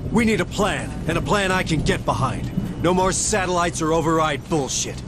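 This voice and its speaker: An actor playing a gruff, sardonic, battle-weary marine sergeant in a video game, blunt and challenging in manner. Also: male voice, fairly high pitch, lively intonation, moderate pace, over music, lightly acted. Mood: angry, insistent.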